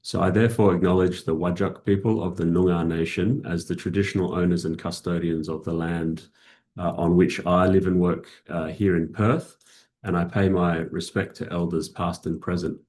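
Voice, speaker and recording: Middle-aged Australian man, very low voice, measured keynote speaker, lots of fillers, on a video call. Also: steady tone, darkish tone, a little hiss or hum. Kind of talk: formal conference keynote.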